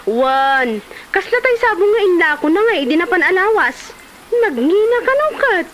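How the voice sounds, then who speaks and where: Comedy drama: actress as envious, talkative Ilocano village wife, very high pitch, Filipino accent, slightly noisy recording. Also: female voice, big pitch swings, normal speed, a bit bright, full character voice. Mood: sulky, complaining.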